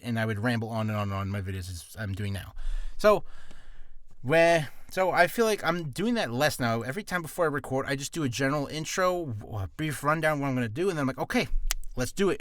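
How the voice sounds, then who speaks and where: A man's voice: medium pitch, fairly bright tone. A man giving beginner voice-acting advice in a casual vlog, nervous and rambling, with self-deprecating asides, from a small, hot, cramped room.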